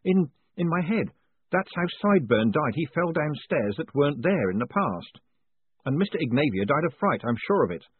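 A man's voice: medium pitch, big pitch swings, normal speed, breathy edge, no echo. A man voicing a shaken, protesting con-man in a fantasy audiobook, urgent, faltering and exasperated. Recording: muffled phone-like audio.

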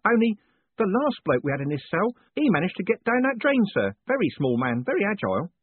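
A man's voice: high voice, sing-song, brisk, breathy edge, pitched above the performer's own voice, full character voice. A man voicing a cheerful, chatty male prison warden who talks in a chummy, rambling, deferential way. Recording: tinny, phone-like dry sound.

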